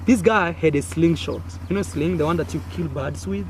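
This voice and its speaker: Lively Kenyan man telling a story. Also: fairly high pitch, normal speed. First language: Swahili. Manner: animated, acts out sounds and gestures with frequent fillers. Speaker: content creator. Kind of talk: casual storytelling to camera. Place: outdoors in a village. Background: faint village noise.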